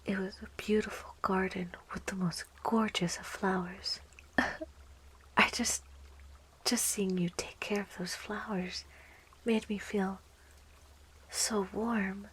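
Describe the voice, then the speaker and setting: A woman voicing a sweet, clingy yandere plant girl in a soft, coaxing whisper for an ASMR roleplay. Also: medium pitch, fairly even intonation, slight background noise, rough recording. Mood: tender, possessive. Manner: coaxing, cooing, giggly, slow.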